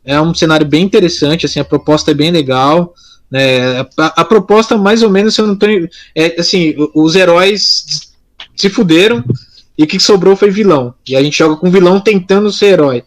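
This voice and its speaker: A man's voice: a little high, brisk. A man co-hosting a casual live podcast, talking informally and often interrupting himself mid-thought. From São Paulo, Brazil. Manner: informal, rambling, self-interrupting. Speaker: podcast co-host. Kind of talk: casual live chat, answering listeners.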